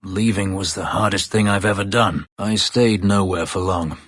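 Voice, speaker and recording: Casting: fantasy game elf fighter, escaped slave; very low, raspy, grave, guarded, dryly sardonic. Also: male voice, steady tone, moderate pace, fairly bright tone, dry recording. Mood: sombre, earnest. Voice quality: husky, low voice. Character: brooding, bitter male elf warrior, escaped slave. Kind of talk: fantasy video game voice acting.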